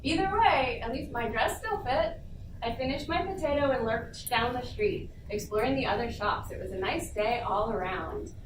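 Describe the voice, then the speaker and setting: A woman's voice: a little high, moderate pace. A woman playfully reading her own humorous story aloud in a casual, amused manner. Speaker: panelist and writer. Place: convention panel room before a small audience. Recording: echoey room, distant mic, noisy.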